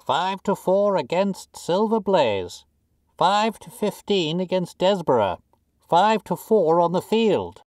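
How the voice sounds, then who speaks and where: A roaring racecourse bookmaker from a storybook, put on by a male narrator. He calls loudly in long, drawn-out phrases. The voice is pitched high, and the recording is dry. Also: very animated, no echo, full character voice. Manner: loud, drawn-out calling. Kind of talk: audiobook character dialogue.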